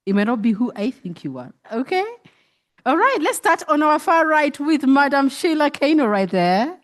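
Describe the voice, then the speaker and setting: Woman moderator on a conference stage, playful and encouraging, a slightly high voice. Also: big pitch swings, normal speed. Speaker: panel moderator. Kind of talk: live panel moderation on stage. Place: conference stage before a live audience.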